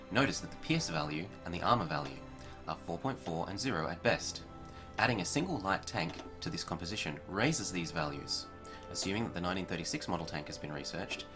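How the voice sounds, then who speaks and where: A man narrating a scripted gaming tutorial in a formal, measured, precise manner. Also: deep voice, fairly bright tone. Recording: music behind the voice. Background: music.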